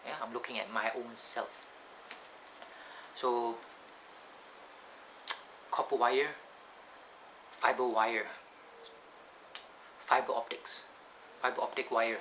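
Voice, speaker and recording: A Malaysian man at a middling pitch talks loosely to his camera, wandering off topic and stopping to fix his own words. The camcorder's built-in mic thins out his voice and strips away its bass. A clear hum of background noise runs under the whole recording. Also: little pitch movement, slow pace, pauses often, bright, forceful tone, low-quality recording. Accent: Malaysian English. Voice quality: thin sound, lacking bass. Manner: rambling, digressive, self-correcting. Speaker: vlogger. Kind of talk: casual rambling vlog talk.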